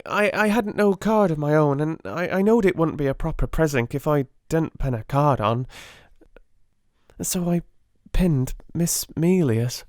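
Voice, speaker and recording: A man's voice: on the high side, unhurried, a little mellow, dry recording, clean studio sound, voice pushed up, natural voice. A man voicing a humble, timid young servant girl with a working-class English accent, stammering and deferential, in an audiobook. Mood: anxious, earnest. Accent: working-class English dialect.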